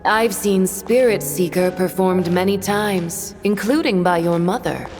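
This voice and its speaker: A woman's voice: mid-range voice, measured pace, close to an everyday voice. A woman playing an aloof, melancholy woman of authority in a game, restrained and reflective in manner. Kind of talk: dramatic game cutscene acting. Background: music.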